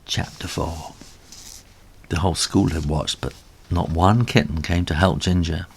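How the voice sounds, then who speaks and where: A man reading a children's story aloud as its author, in an animated storybook narration style with a husky voice. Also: sits low, a little slow, slight background noise.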